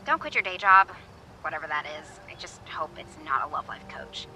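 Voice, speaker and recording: A woman's voice: fairly low pitch, big pitch swings, normal speed, background noise. A woman playing an awkward, flustered young radio advice host in a video game, rambling, correcting herself and dryly sarcastic. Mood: dry, exasperated. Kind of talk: naturalistic video game voice acting.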